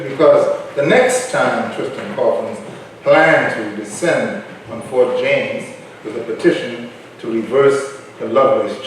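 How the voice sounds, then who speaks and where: Guy narrating a history play, mid-pitched voice, tons of room echo. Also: close to an everyday voice. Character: wry storytelling narrator of colonial history. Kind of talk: scripted narration in a staged reading. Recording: very echoey room, distant, faint noise.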